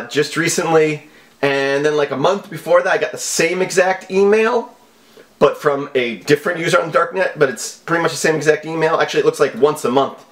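A man's voice: a little high, a bit bright. A man in his thirties giving casual, offhand vlog commentary with a wry manner. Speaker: video host. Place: small home office.

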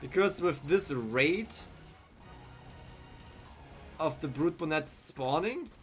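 A man's voice: a little high, animated, slow pace, fairly bright tone, soft background music. A young man with a German accent casually commenting live on his game while answering chat, speaking into a headset in a small room. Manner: chatty, thinking aloud, answers chat. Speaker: streamer.

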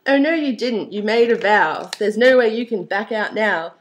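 A woman voicing a pushy, gloating ugly stepsister in a storybook fairy tale, loud and insistent. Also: medium pitch, lively intonation, moderate pace, natural voice.

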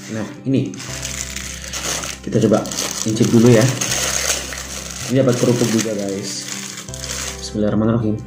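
A man's voice: fairly low pitch, fairly even intonation, normal speed, pauses often. A man in his thirties chatting casually as he unboxes and tastes hotel breakfast food, recorded by a camera at the table in a small hotel room. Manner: chatty, describes food while eating. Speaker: food vlogger. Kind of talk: casual food review vlog. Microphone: camera at table distance. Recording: echoey room with music behind. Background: background music.

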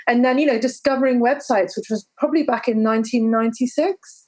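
Lively, joking woman podcast guest. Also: a little high, quick pace. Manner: lively, self-deprecating, chatty with fillers. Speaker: guest, entrepreneur. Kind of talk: casual interview answers.

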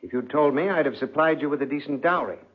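An actor playing an imperious, suspicious husband with pompous mock courtesy, in 1940s radio style. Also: male voice, on the high side, quick pace, breathy edge. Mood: smug, commanding. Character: imperious, suspicious husband, self-important lord of the house. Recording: dull, muffled 1940s radio recording.

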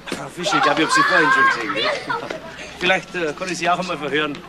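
An actor playing a laid-back, cheeky police detective with a Bavarian accent and a folksy manner in a radio crime drama. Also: male voice, on the high side, wildly swooping pitch, quick pace, a loud crowd, very noisy. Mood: easygoing. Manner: easygoing, folksy.

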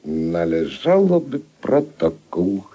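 An actor playing a ponderous, formal police agent with halting speech in a 1950s Polish radio comedy. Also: male voice, low voice, very animated, mellow, exaggerated voice. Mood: stolid, formal. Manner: halting, deliberate.

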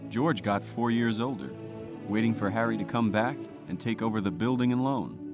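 A lowish male voice , an actor's, narrates as a radio drama storyteller, recounting a life. Clear orchestral music with brass sits behind him. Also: moderate pace, natural voice. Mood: explaining.

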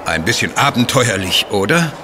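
An actor in a German audio drama playing a suave, politely mocking writer with an urbane, ironic manner. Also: male voice, on the low side, sing-song, normal speed, background noise. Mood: amused, sceptical.

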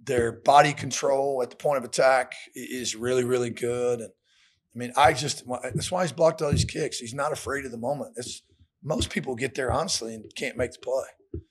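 A man's voice: medium pitch, normal speed, slightly rough. A middle-aged American man, a football coach, answering questions in a plain, colloquial way at a post-game press conference podium. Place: indoor press room at a podium. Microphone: podium microphones.

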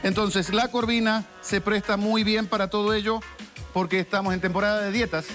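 Male chef, higher pitch, calm and explanatory, studio with music underneath. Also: brisk. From Argentina. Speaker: chef guest. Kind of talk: cooking demonstration chat.

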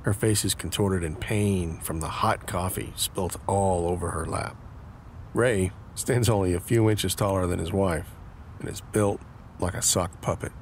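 A man's voice: low-pitched, steady tone, a little slow, slight background noise. A man narrating an audio drama from a script, describing scenes. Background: instrumental music.

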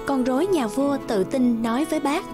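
Female narrator, high register, scripted children's fairy tale read over a music bed. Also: normal speed.

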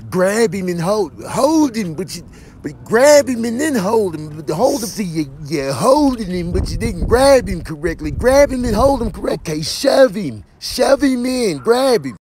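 A fussy police officer played by a man insists on orders over and over in a comic dub. The voice is high and extremely animated. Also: moderate pace. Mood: exasperated. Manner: repetitive, insistent. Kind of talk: comedic voiceover.

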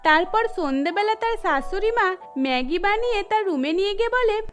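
A woman narrates a scripted story for a cartoon. Her voice is extremely high. Light music plays beneath the narration. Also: animated, a little fast. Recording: music bed under the voice.

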